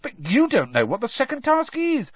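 A teenage schoolboy acted out by a narrator, pitched high and anxious. He sounds breathless and disbelieving, tending to despair, as a character in a storybook. The recording is close, dry and slightly dull. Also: wildly swooping pitch, moderate pace, breathy edge, dry recording, full character voice. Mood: baffled, alarmed. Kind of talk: audiobook character voice.

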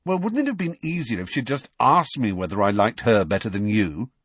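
A man's voice: on the low side, lively intonation, a little fast, husky, no echo. A man voicing a baffled, easily flustered teenage boy wizard with an exasperated, spluttering manner in an audiobook. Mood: forceful.

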